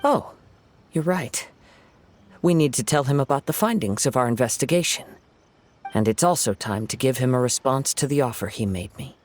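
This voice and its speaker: An actor playing a gentle, reflective young wandering swordsman in a video game, speaking in a measured, formal, contemplative way. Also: mid-range voice, expressive, a little hiss or hum, close to an everyday voice. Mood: calm, supportive.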